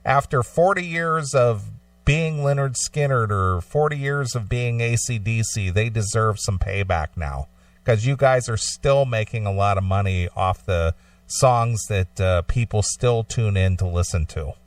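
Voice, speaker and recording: A man co-hosting a casual, opinionated radio discussion, explaining his points haltingly and often repeating phrases. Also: lowish voice, animated, dry recording, distant mic. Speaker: talk show co-host.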